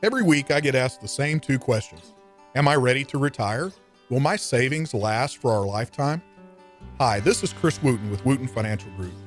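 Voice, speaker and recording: A man's voice: mid-range voice, lively intonation, brisk. A man with an American accent delivering a scripted radio advertisement as a financial advisor. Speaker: financial advisor in sponsor spot. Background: plucked guitar music.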